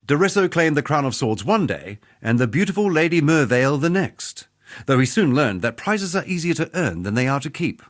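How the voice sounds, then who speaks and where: A man voicing a proud, battle-scarred duelist in a video game, telling things in an earthy, wry, measured way. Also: on the high side, very animated. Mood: proud, reflective. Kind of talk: dramatic video game character monologue.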